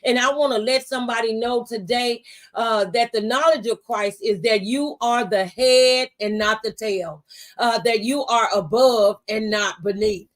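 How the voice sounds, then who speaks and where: A woman's voice: a little high, unhurried. A woman hosting a faith-based talk show, speaking in an encouraging, testifying way with preacher-like exhortation.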